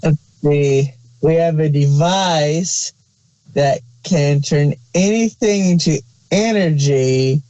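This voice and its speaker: A man's voice: on the high side, a little mellow, poor audio. A man chatting casually as a podcast co-host, joking in a crude, irreverent way. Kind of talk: casual chat.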